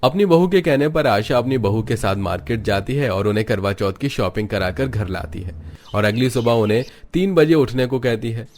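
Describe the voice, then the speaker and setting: A man's voice: mid-range voice, expressive, normal speed, darkish tone, slight background noise. A man reading scripted narration for a cartoon family story as its narrator.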